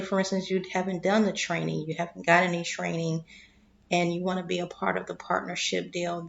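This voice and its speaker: A woman explaining investing in a slow, deliberate way, clearing her throat and losing her voice, often checking in with "okay?". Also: on the low side, highs cut off. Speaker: investing vlogger. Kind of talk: casual explanatory monologue.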